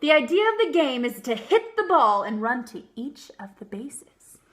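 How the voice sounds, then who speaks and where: A woman's voice: sits high, sing-song, moderate pace. A woman voicing eager, bossy young boy ballplayers in a storybook, emphatic and instructing.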